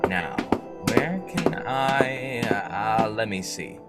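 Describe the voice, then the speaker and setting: Man acting a low-voiced, commanding masked vigilante. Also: very animated, loud music behind the voice. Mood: brisk, secretive. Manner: curt, measured, menacing. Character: cool, commanding masked male vigilante hero. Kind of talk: old-time radio acting.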